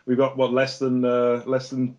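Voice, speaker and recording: A man from England with a Lancashire accent, a guest comic artist chatting in a podcast interview, pausing often on uh and um as he talks. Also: medium pitch, completely flat delivery, darkish tone, highs cut off. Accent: Lancashire English. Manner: hesitant, many "uh" and "um" fillers.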